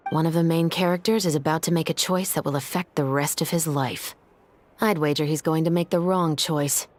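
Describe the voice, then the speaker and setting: A woman's voice: low-pitched, fairly even intonation, brisk, a little hiss or hum, close to an everyday voice. A woman playing a stern, composed young police captain in a video game, commanding, clipped and matter-of-fact. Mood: cool, detached. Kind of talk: video game character dialogue.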